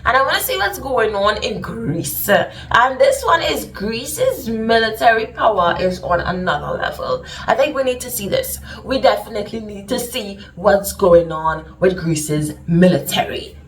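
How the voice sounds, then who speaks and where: Woman, Trinidadian accent, reaction host, casual, animated exclaiming, slightly high voice, distant mic. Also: measured pace, few pauses. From Trinidad and Tobago. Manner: animated, exclaiming and repeating herself.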